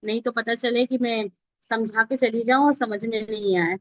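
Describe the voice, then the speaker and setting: A woman's voice: on the high side, steady tone, moderate pace, fairly bright tone, dry recording. A woman instructor giving an informal live online tutorial, explaining step by step and often checking that learners follow. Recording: dull lo-fi online call audio.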